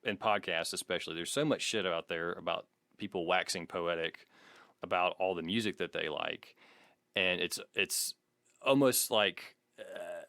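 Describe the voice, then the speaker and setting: Man, lowish voice, dry close sound, casual chat, podcast co-host. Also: a little slow, pushed and bright, no room sound. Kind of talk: casual conversational podcast talk.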